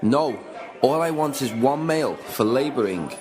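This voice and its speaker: A man's voice: mid-range voice, lively intonation, normal speed, crowd noise, natural voice. A man playing a formal, conscientious 17th-century English builder and slave owner who is troubled, in a radio drama with reflective narration. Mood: firm. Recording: music bed behind the voice.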